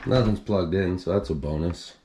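A man's voice: deep voice, moderate pace, warm tone, slight background noise. A man casually narrating as he walks through an abandoned house, admiring it and thinking aloud, recorded on a handheld camera. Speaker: urban explorer host. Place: indoors in an empty abandoned house.

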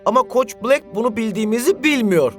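An actor playing an eager, excitable young male footballer in a cartoon dub, earnest in manner. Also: medium pitch, brisk, faint music behind, close to an everyday voice. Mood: earnest, intent. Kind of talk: cartoon dubbing.

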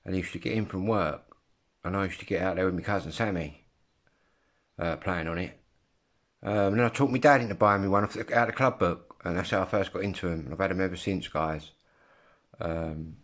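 Casting: English man, fifties, deep voice, informal and halting, rambling hobby vlogger talking into a phone at home. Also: a bit bright. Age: 50s. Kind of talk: casual rambling vlog chat. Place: room at home. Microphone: phone at arm's length.

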